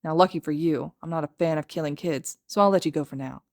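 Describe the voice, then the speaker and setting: A woman's voice: low voice, fast, a little mellow, dry recording. A woman voicing a sardonic, menacing young male villain with a blunt, sneering drawl in a story reading. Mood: threatening.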